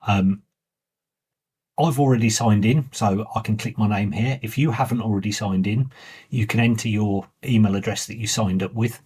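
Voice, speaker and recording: A man giving a plain, informal step-by-step walkthrough as the presenter of a software tutorial. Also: fairly low pitch, fairly even intonation, normal speed, slightly rough. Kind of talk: step-by-step screen walkthrough narration.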